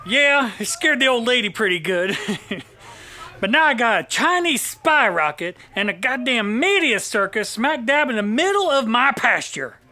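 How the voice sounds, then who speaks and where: Actor as a high, piercing irritable country farmer. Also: male voice, sing-song, normal speed, bright, forceful tone, exaggerated voice. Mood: suspicious, grumbling. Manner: folksy, cussing, indignant. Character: irritable, suspicious rural farmer husband. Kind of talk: audio drama acting.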